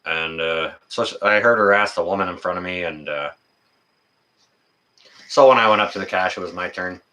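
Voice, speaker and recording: A man in his fifties hosting a casual livestream chat, talking in a rambling, folksy, halting way. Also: low-pitched, pushed and bright. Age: 50s. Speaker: livestream host.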